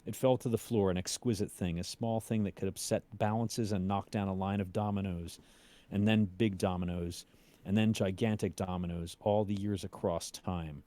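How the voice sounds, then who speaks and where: A man reading aloud in a measured, suspenseful storytelling style. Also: deep voice, fairly even intonation, mellow. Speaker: cast member reading a story aloud on a stream. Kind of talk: dramatic story reading, then casual chat.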